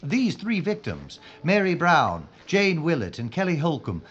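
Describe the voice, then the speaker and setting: A man's voice: a little high, wildly swooping pitch, a little slow, a bit bright, slightly rough, full character voice. Man acting a formal wartime police inspector, muffled. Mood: earnest, firm. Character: dogged male police inspector in wartime London, thoughtful, deferential. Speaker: actor in an audio drama. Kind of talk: audio drama acting.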